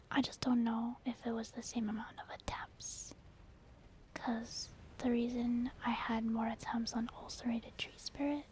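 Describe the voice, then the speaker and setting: A woman whispering softly and breathily in a casual, rambling ASMR commentary over video gameplay. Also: fairly high pitch, slight background noise. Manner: casual, rambling, gentle explaining. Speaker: gaming ASMR video host.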